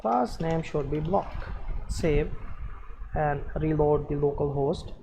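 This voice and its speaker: A man presenting an unscripted screen-share programming tutorial, giving halting step-by-step instructions in short phrases. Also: a little high, creaky, warm tone, slight background noise.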